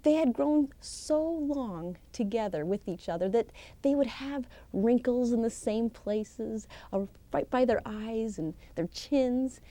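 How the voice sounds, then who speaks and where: Slow, bright-voiced woman telling a folktale. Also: fairly high pitch. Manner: expressive, theatrical, with deliberate pauses and emphasis. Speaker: professional storyteller. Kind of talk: dramatic live oral storytelling of a folktale. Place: live storytelling session at a conference.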